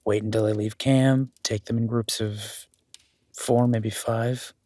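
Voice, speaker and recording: A man playing a cautious warrior duke in a fantasy audio drama, respectful, measured and deferential. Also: on the low side, level tone, mellow, no room sound.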